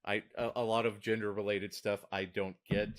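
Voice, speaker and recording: A man's voice: flat delivery, a little fast. A man, co-hosting a casual podcast as a diagnostician, often restarting his words and laughing easily. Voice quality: deep voice.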